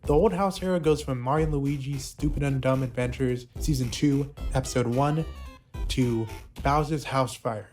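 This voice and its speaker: A man's voice: medium pitch, normal speed, darkish tone. Man narrating casual commentary over music. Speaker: video essayist reviewing a puppet show's history. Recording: music playing behind the voice.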